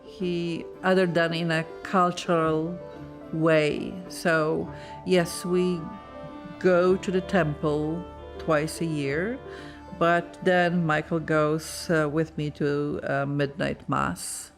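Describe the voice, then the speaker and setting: Slow, halting woman. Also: sits low. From Poland. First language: Polish. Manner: reflective, halting, searching for words. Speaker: librarian. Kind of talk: documentary interview.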